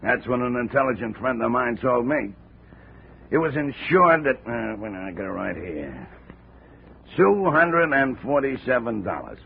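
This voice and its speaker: A friendly, folksy American informant with a gravelly edge. His pitch moves freely as he talks. It sounds like 1950s radio drama, narrow and a little noisy. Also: big pitch swings, unhurried. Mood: puzzled, informative. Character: friendly, helpful male cargo claims informant. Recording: tinny, noisy old radio recording.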